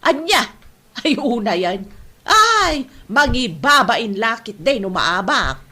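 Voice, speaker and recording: A woman's voice: on the high side, sing-song, slow pace, pushed and bright, exaggerated voice. A woman playing a scolding, doubtful mother in an Ilocano comedy drama. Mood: scornful.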